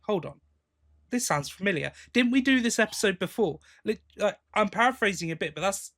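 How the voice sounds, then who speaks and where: A man's voice: sits high, fairly bright tone. A man co-hosting a podcast, chatting casually about news. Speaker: podcast co-host. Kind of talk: casual chat about sci-fi audio drama news.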